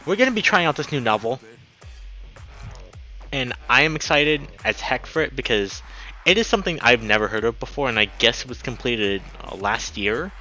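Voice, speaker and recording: A man with a bright, animated voice hosts a casual game livestream. He chats excitably with viewers as music plays behind him. His pitch moves around a lot. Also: moderate pace, rough recording. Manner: excitable, chatty, greets viewers by name. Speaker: game streamer and host. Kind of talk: casual livestream chat while playing a visual novel.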